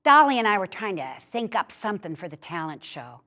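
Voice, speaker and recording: A woman reading a personal childhood memoir aloud in a measured storytelling way. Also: mid-range voice, very animated, sharp tone. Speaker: story reader.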